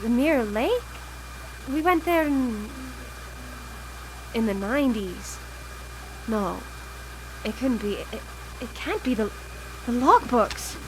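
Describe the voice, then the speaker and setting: High-voiced, desperate grieving widow. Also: female voice, expressive, slow pace, pauses often, poor audio. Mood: stunned, desperate. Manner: pleading, urgent. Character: grieving, obsessive widow desperate to reach her lost wife. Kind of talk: live radio drama acting. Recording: live broadcast with background noise.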